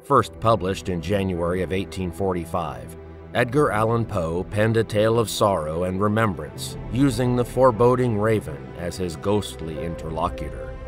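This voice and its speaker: A man giving dramatic scripted narration as an introducer, measured, ominous and theatrical. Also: deep voice, expressive. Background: prominent music.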